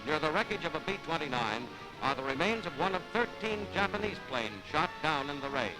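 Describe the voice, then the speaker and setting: A man's voice: fairly high pitch, big pitch swings, fairly quick, rough recording. A man with an American accent reading a scripted wartime newsreel narration in a dramatic, declamatory 1940s style. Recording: vintage 1940s newsreel soundtrack, loud music. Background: loud musical score.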